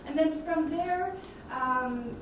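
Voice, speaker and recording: A woman speaks as a conference presenter, measured and explanatory, at an ordinary pitch. She sounds some way from the microphone in a very echoey hall, with clear ambient noise around her. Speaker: conference speaker, leadership development specialist. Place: indoor conference plenary room. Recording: echoey hall, distant mic, noisy ambience.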